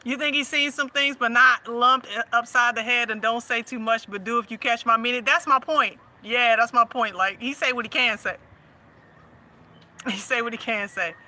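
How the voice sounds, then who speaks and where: Bright-voiced laughing Southern woman. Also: fairly high pitch, moderate pace. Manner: laughs often, animated and teasing. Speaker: host. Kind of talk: casual livestream chat.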